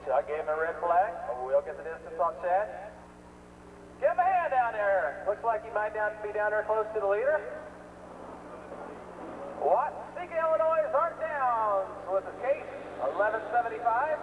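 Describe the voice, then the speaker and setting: A man's voice: high-pitched, lively intonation. A man announcing a tractor pull over a public address system, drawing out names and distances. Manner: drawn-out PA calls of names and distances. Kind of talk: live event announcing over PA. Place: outdoor fairground track. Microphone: public address system recorded by camcorder. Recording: echoey PA heard from afar, loud outdoor noise. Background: engines and crowd ambience.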